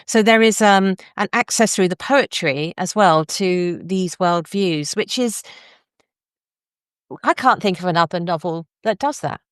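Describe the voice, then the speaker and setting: Low, clear-voiced woman interviewing. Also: unhurried, no echo, clean studio sound. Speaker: podcast host and interviewer. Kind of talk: conversational book discussion interview.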